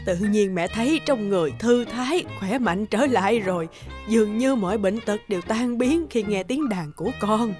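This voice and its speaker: A woman playing a frail, gentle old mother in a fairy-tale cartoon. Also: medium pitch, lively intonation, moderate pace, music in the background, lightly acted. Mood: relieved.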